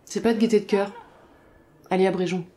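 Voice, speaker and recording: A woman acting in an audio drama as a cynical, sharp-tongued former police worker, blunt and slangy. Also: medium pitch, fairly even intonation, fairly quick, a little hiss or hum, close to an everyday voice. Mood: cynical, irritated.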